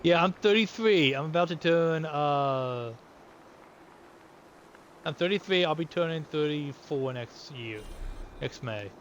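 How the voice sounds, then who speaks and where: A man in his thirties from the United States chats through gameplay in a rambling way, often restarting his sentences. His voice sits a little high, with clear background noise under it. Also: expressive, slow, pauses often. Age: 30s. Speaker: gamer. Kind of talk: casual gameplay commentary.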